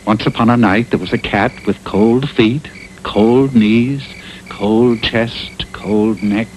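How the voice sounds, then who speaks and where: A man's voice: fairly low pitch, fairly even intonation, slow, a little mellow, low-quality recording. A man narrating a cartoon fairy tale in a tongue-in-cheek storybook style, on an old TV soundtrack. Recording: old taped TV cartoon soundtrack, faint noise.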